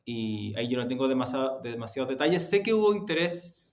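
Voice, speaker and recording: A man's voice: mid-range voice, very animated, quick pace. A man with a Chilean accent answering interview questions reflectively, often rephrasing and qualifying what he says. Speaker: interview guest, podcast production executive. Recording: roomy, echoey sound.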